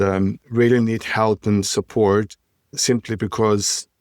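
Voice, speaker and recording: A man's voice: fairly low pitch, dry recording, clean studio sound. A man hosting a podcast in casual chat, rambling and stumbling over his words while searching for them. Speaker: podcast host.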